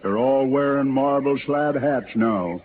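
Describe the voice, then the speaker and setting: An actor playing a hard, vengeful sheriff in a 1950s Western radio drama, gruff and scornful. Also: male voice, medium pitch, a little slow, lightly acted. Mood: scornful, stern. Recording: scratchy, noisy, narrow old radio recording.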